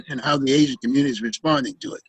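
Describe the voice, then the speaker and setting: Gravelly, husky man hosting a panel. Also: mid-range voice, fairly even intonation, no echo, poor audio. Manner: halting, repeats words while searching. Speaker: talk show host. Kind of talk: public affairs panel talk.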